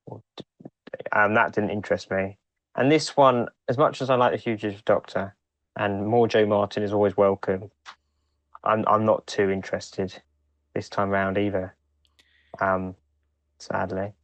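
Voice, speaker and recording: A man co-hosting a podcast, talking casually in a hesitant, halting way with repeated starts. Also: fairly low pitch, little pitch movement, dry recording. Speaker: podcast co-host. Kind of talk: casual chat.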